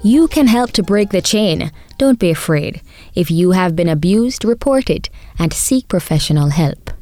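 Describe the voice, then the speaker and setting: A woman presenting a short radio news feature in a clear, measured, formal way. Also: on the low side, lively intonation, dark tone, no echo. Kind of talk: scripted news feature reading.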